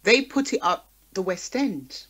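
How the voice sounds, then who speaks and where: A woman's voice: mid-range voice, lively intonation, unhurried, a bit bright, breathy edge. Emphatic woman in radio discussion. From Caribbean community in the UK. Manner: emphatic, punctuating points with rhetorical questions. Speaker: radio talk show guest. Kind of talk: passionate conversational radio discussion.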